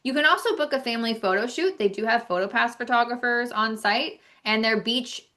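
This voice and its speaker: A woman's voice: on the high side, fairly quick, bright, forceful tone. A woman co-hosting a travel podcast, talking in a chatty, informal way and giving her opinions as she goes. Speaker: podcast co-host. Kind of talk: casual podcast chat about travel.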